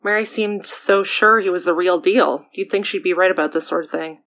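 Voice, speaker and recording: A woman's voice: fairly low pitch, normal speed, a bit bright, highs cut off. An actress playing a casual, teasing, supportive female friend in a naturalistic audio drama. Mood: probing, teasing.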